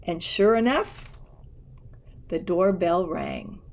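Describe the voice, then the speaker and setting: A woman reading a children's picture book aloud in an expressive way, with casual asides. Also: fairly low pitch, slow pace. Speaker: storybook reader.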